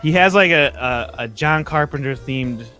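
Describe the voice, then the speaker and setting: A man's voice: medium pitch, normal speed, pushed and bright, soft background music. A young adult man chatting casually and enthusiastically in a rambling, conversational way. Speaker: member of an amateur script-reading group. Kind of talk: casual group chat, showing off collectibles. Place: at home on a group video call.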